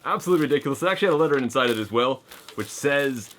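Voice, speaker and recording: A man casually opening fan mail on camera, chatty and excitable, reading letters aloud and stumbling over his words now and then. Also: mid-range voice, rapid-fire, a little hiss or hum.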